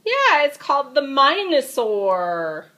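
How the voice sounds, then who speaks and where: Animated, high-voiced woman reading a storybook. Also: slow pace. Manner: animated, child-directed, dramatic emphasis. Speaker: storybook reader. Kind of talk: expressive children's storybook read-aloud.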